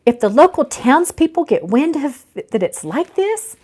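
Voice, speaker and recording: A woman's voice: sits high, big pitch swings, measured pace, darkish tone, voice pushed up. A woman voicing a dry, cautious, easily alarmed female ghost in a storybook. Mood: worried. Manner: dry, fretful.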